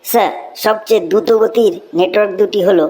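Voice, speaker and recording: A woman's voice: medium pitch, fairly even intonation, fast talker, mellow, close to an everyday voice. A woman voicing a cheeky, wisecracking schoolboy in a Bengali comedy cartoon, explaining things with mock earnestness. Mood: mock-earnest.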